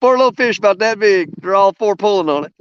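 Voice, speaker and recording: Guy fishing live, hoarse and losing his voice, highish voice, dry dull sound. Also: a bit bright, slight background noise. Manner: rambling, self-mocking, drawling. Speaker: fishing vlogger streaming live. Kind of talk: casual live-stream chat while fishing. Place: outdoors by a river.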